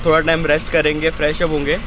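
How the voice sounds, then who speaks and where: A man's voice: a little high, fast talker, background noise. A young man chatting casually about a road trip, filming himself at arm's length inside a pickup truck cab. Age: young adult. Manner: relaxed, chatty, mixing Hindi and English. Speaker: travel vlogger. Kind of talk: casual travel vlog. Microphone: camera held at arm's length.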